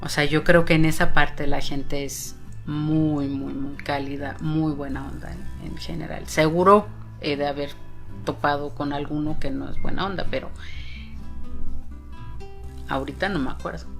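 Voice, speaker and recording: Low-voiced woman mathematician. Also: fairly even intonation, moderate pace, gravelly, soft background music. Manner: casual, fillers like "o sea", "¿verdad?". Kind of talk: documentary interview.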